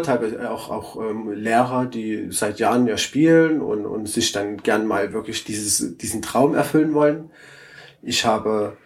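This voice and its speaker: A man's voice: medium pitch, a little mellow. A ukulele builder talking enthusiastically at a festival, often restarting and repeating words as he answers interview questions. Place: outdoor music festival.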